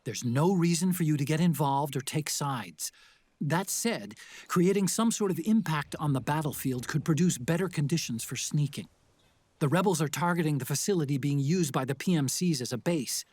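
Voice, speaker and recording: Earnest, higher-voiced tech adviser. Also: male voice, animated, normal speed, a little mellow, husky. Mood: earnest, advising. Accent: American. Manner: earnest, explanatory, slightly apologetic. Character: earnest, mild male tech expert advising an agent. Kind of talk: video game cutscene acting.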